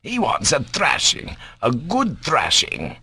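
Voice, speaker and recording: A man's voice: medium pitch, big pitch swings, moderate pace, a bit bright, husky, no room sound, voice pushed up, exaggerated voice. A man voicing a pompous, easily angered doctor in a storybook, haughty and prone to stammer and repeat himself. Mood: indignant fury. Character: pompous, easily enraged male doctor, sputtering with indignation.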